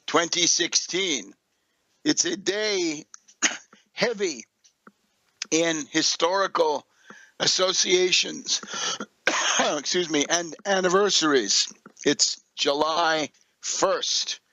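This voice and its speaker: A man's voice: a little high, extremely slow, long pauses, pushed and bright, dry recording, rough recording. An older man hosting a political radio commentary, speaking in an emphatic, scornful, declamatory way. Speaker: radio show host.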